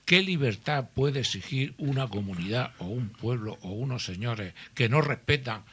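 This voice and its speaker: A man's voice: mid-range voice, expressive, normal speed, fairly bright tone, slight background noise. A man with a Murcian accent, a regular panelist on a radio debate show, who keeps pressing in and interjecting. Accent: Murcian Spanish. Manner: insistent, interjecting.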